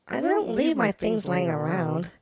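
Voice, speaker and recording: A woman's voice: mid-range voice, very animated, unhurried, a crowd in the background. Prim, dramatic doll lady played by a woman. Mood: suspicious. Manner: prim, formal phrasing. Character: jealous, melodramatic porcelain doll woman, prim and pouty. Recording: loud music behind the voice. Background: music.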